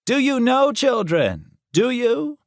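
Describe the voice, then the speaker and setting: A man's voice: extremely high pitch, very animated, slow, no echo, studio quality, voice pushed up, heavily acted. A man voicing a theatrical college professor in an audiobook, declaiming like a preacher with mocking, taunting questions. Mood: fervent ranting. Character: ranting theatrical male college professor, sarcastic and menacing. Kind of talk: audiobook character voice.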